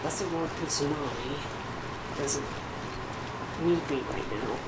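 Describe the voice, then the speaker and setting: A woman's voice: low-pitched, slow, heavy background noise. A woman livestreaming a video game with casual, off-the-cuff commentary. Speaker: game streamer. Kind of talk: casual live gameplay commentary. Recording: loud game music behind voice. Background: game music.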